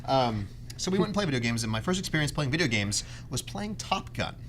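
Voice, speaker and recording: Male podcast host, quick and lively, joking casually, with clear room noise behind him. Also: medium pitch. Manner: animated, jokey, acts out quoted lines. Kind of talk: casual chat about video games.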